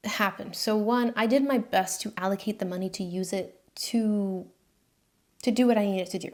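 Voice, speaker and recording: A woman's voice: medium pitch, a little mellow. Chatty American woman in her twenties. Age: 20s. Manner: chatty and candid, slangy asides. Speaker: freelance designer. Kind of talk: casual talking-head vlog.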